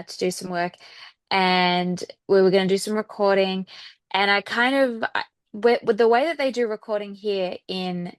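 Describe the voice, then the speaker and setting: A woman with an Australian accent chatting casually as an interview guest, often softening points with filler phrases like "kind of". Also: medium pitch, smooth, no room sound. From Sydney, Australia. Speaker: guest singer-songwriter.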